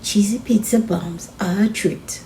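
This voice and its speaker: Kindly mom role, woman performer for a storybook: reassuring, practical, softly toned, gently unhurried. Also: mid-range voice, a little hiss or hum, close to an everyday voice. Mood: patient. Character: kindly, practical mother of two young children.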